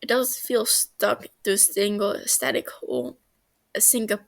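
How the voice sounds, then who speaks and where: Slow, creaky-voiced woman reading a story. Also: mid-range voice, steady tone. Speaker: amateur story reader. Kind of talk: storybook-like narration of fan fiction.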